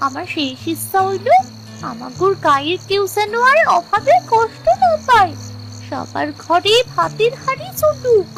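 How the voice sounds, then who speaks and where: A woman's voice: very high-pitched, lively intonation, measured pace, vocal fry, full character voice. A woman voicing a kind, hopeful little village girl with an East Bengali accent in a children's cartoon. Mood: earnest, selfless. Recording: music and insect chirps behind. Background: music, crickets.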